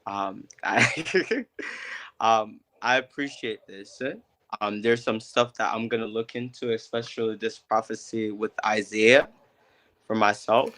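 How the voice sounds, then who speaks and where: Slow, hesitant male caller. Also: on the low side, a bit bright, rough recording. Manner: slow, polite, hesitant, many ums. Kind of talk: casual call.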